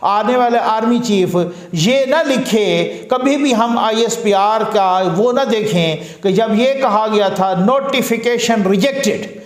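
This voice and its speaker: A man's voice: high-pitched, takes it slowly, hardly any pauses, reverberant. A man from Pakistan delivering a political commentary monologue, earnest and rhetorical, building his points through repeated phrases. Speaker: news commentator.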